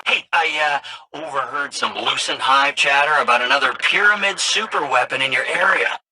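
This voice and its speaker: A man's voice: a little high, moderate pace, sharp tone, slightly rough, exaggerated voice, rough recording. A man playing a jittery, eager informant in a video game, hesitant, casual and conspiratorial as he passes on secret tips. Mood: awkward, eager. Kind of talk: scripted video game voice acting.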